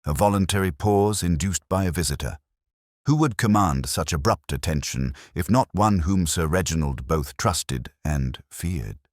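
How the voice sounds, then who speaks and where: A man performs a brilliant detective in an audiobook mystery, with a very deep voice and animated intonation. He is formal, commanding and incisive, setting out each deduction with deliberate precision. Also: dry recording, clean studio sound.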